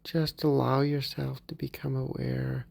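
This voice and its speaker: Slow gravelly male meditation guide. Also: mid-range voice. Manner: calm, gentle, unhurried, slips into soft whispers. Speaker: therapy group leader.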